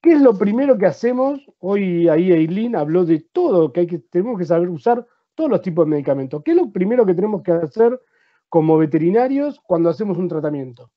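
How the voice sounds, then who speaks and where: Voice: mid-range voice, big pitch swings, a little fast, highs cut off. A speaker with an Argentine accent, a veterinarian giving a practical seminar presentation who explains step by step and asks the audience rhetorical questions.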